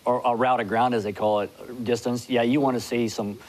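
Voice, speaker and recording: A man in his forties with a voice of ordinary pitch, appearing as a guest on a TV studio show and analyzing horse races. He speaks in quick bursts, restarting often and leaning on "you know", into a lapel mic. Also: level tone, a little mellow. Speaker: guest horse racing handicapper. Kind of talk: studio interview. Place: TV studio with city skyline backdrop.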